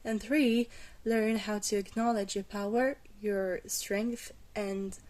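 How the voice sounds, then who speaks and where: A woman playing a glamorous vampire mentor in an ASMR roleplay, patient, reassuring and gently wry, with a soft, hesitant delivery. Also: medium pitch, flat delivery, takes it slowly, close to an everyday voice. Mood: reassuring, instructive.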